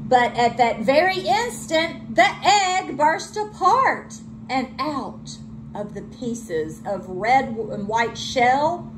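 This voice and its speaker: A woman teacher reading a children's storybook aloud with expressive delivery. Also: high voice, noisy background.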